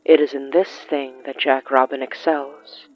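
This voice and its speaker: A narrator voicing a learned, disdainful man of magic who lectures in a measured, solemn way. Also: mid-range voice, level tone, highs cut off, lightly acted. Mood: solemn, reflective.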